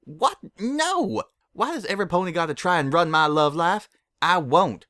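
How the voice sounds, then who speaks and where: Man putting on a high-pitched anxious farm pony gal. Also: lively intonation, normal speed, bright, forceful tone, no echo, studio quality. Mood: flustered, defiant. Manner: folksy country phrasing. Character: stubborn, anxious female farm pony with country speech.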